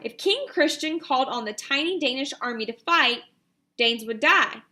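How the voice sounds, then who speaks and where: A woman, an elementary teacher reading a picture book aloud and giving pupils instructions in an expressive, deliberate way. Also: high-pitched.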